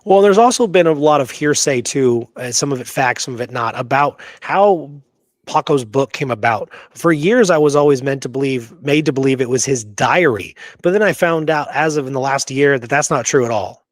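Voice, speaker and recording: A man's voice: medium pitch, normal speed, no echo. A man hosting a conversational history discussion, speaking in an emphatic, opinionated way and repeating points to drive them home. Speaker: podcast host explaining frontier history.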